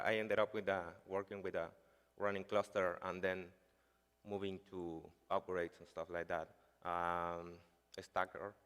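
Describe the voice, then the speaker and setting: Deep-voiced Chilean man giving a conference talk. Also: clear, resonant tone. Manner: hesitant, many fillers like "like" and "uh". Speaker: conference speaker. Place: large conference hall. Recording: reverberant hall sound.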